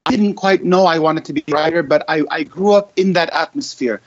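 Interview guest: thoughtful poet, slightly higher male voice, muffled lo-fi sound, unhurried and reflective. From Egyptian-Lebanese family. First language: Arabic. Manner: measured, reflective, some hesitant restarts. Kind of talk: poetry reading, then conversational answers. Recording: dull lo-fi audio.

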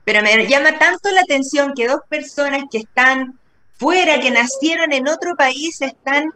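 A woman's voice: high-pitched, moderate pace, sharp tone, a little hiss or hum. A woman hosting a casual interview, asking long winding questions with an effusive, repeating manner. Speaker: interview host.

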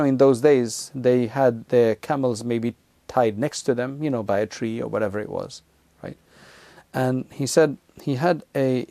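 A man's voice: medium pitch, vocal fry, warm tone, no echo. A man teaching a religious lesson, retelling stories and quoting Arabic before explaining them in English with frequent asides. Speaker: Islamic teacher. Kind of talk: religious lecture retelling hadith.

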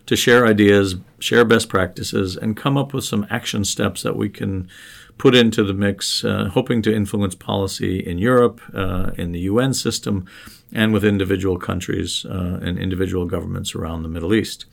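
A man with a low, gravelly voice hosts a casual podcast. He talks in a friendly, easygoing way and works through long lists of places and names one at a time. He is recorded on a studio podcast microphone. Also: fairly even intonation, moderate pace. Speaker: podcast host and professor.

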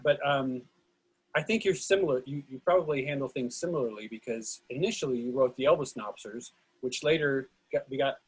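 A man hosting a discussion on a video call, excitable and lively, often restarting his sentences. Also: mid-range voice, slightly rough, low-quality recording. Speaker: host of a play reading discussion. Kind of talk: casual group discussion.

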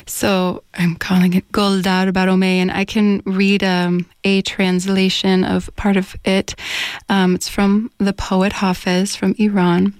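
A woman's voice: mid-range voice, level tone, measured pace, clear, resonant tone, no room sound, studio quality. A woman singer-songwriter in a casual radio interview, chatty, searching for words and laughing often. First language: English. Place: radio studio.

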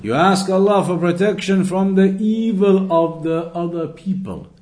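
A man's voice: sits high, measured pace, darkish tone. A man giving an Islamic lesson as a religious teacher, explaining in an instructive way and restating points for emphasis. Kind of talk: Islamic lesson, reading Arabic text and explaining it.

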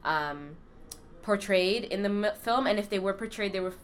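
A woman in casual conversation, talking relaxed and hesitant with many ums. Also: medium pitch, moderate pace, slight background noise. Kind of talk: casual chat.

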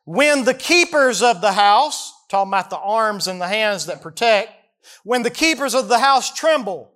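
A man in his early forties delivers a sermon in a notably high voice with a Southern American accent. He is folksy and emphatic, setting out scripture and then explaining it with questions to his listeners. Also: animated, moderate pace, a bit bright. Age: early 40s. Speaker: preacher. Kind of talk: expository sermon, reading verses and explaining them.

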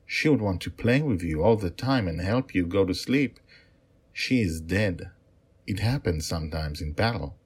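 A man voicing a deep-voiced, half-eagle half-man general who speaks softly and warmly to a child. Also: breathy edge, full character voice. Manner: soft, warm, soothing.

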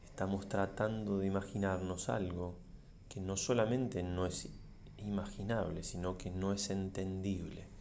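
A man, a priest leading a guided meditation in a solemn, measured way with pauses between short phrases. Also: deep voice, fairly even intonation, darkish tone, slight background noise. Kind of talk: slow reflective guided meditation.

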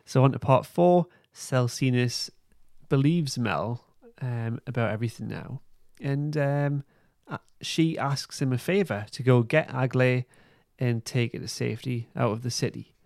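A man hosting a podcast, giving a casual recap in an informal, halting way. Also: medium pitch, creaky, a little mellow, no echo, clean studio sound. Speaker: podcast host. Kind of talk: casual plot recap and review.

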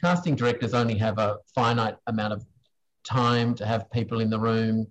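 A man giving unscripted, hesitant answers in a question-and-answer session, halting as he searches for words. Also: lowish voice, low-quality recording. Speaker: guest speaker, screen industry professional answering questions.

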